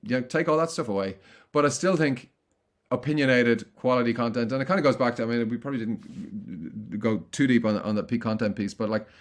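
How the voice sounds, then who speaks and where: A middle-aged man answering interview questions in a casual, chatty way, often restarting his sentences. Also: medium pitch. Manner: quick, chatty, frequent restarts. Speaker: guest, content marketing consultant.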